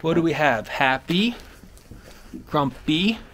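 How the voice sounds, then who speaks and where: A man's voice: a little high, expressive, slow, a bit bright, slight background noise. A man giving a playful walk-through of a decorated outdoor trail at night, narrating in a theatrical storybook manner while holding the camera.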